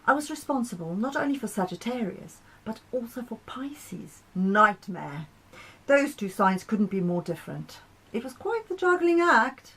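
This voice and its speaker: A woman's voice: mid-range voice, slightly rough, rough recording. A woman voicing a kindly, protective male planet in a storybook, reassuring and chattily reflective.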